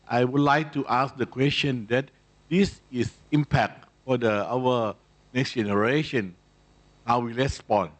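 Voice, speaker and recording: A man from Thailand with a Thai accent, asking a question at a conference in halting, searching phrases. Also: medium pitch, low-quality recording. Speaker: audience questioner, organisation vice president. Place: conference audience question session.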